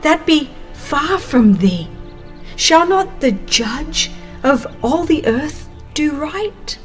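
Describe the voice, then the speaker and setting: A humble, reverent old patriarch voiced by a woman pleads earnestly in an audiobook drama. The words come slowly and deliberately, fairly high in pitch, over faint music. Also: animated, exaggerated voice. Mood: earnest pleading.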